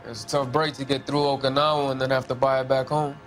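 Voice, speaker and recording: A man's voice: mid-range voice, little pitch movement, quick pace, fairly bright tone, soft background music, lightly acted. An actor playing a defiant, wary Mexican-American ex-serviceman in a 1940s crime video game, streetwise and guarded. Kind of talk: dramatic video game acting.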